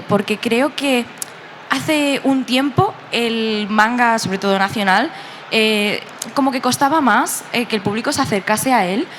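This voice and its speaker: A woman's voice: fairly high pitch, a little hiss or hum. A woman who is a manga artist guest, talking enthusiastically and laughing easily in a casual interview. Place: busy convention hall.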